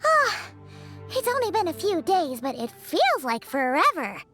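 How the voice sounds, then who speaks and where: A tiny, chirpy fairy companion. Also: female voice, huge pitch swings, measured pace, bright, forceful tone, soft background music, exaggerated voice. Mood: relieved. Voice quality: very high and chirpy. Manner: bubbly, boastful, refers to herself in third person. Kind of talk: video game voice acting.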